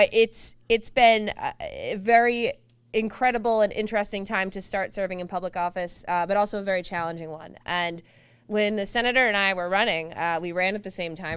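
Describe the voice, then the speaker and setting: Mass. woman legislator, earnest stump speech, really crisp clear voice, dry. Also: medium pitch, fairly bright tone, no echo. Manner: earnest, with light self-deprecating humor. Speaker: state representative. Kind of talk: stump speech at a candidates night.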